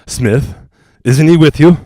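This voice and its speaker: A man playing a grave, evasive doctor who speaks in a measured, apologetic way, in the style of a 1940s radio drama. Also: fairly high pitch, mellow, no room sound, clean studio sound. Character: grave male doctor and ghost hunter, composed, evasive.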